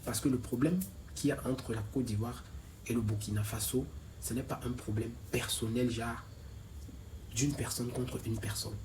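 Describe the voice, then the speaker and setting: A man giving his opinion in a measured, lecturing way, full of rhetorical questions. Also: mid-range voice, steady tone, a little mellow, a little hiss or hum, low-quality recording. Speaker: commentator replying to a video. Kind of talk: opinion reply video.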